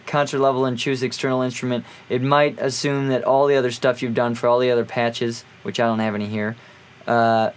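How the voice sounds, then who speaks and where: A man presenting an informal music gear tutorial, explaining in an offhand way as he thinks aloud. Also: mid-range voice.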